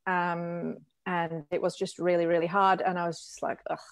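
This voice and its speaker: A woman in her forties from Switzerland, a physiotherapist guest telling her story in a chatty, frank, animated way over a video call from her living room. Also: lowish voice, normal speed, clean tone, no room sound. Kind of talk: casual personal storytelling.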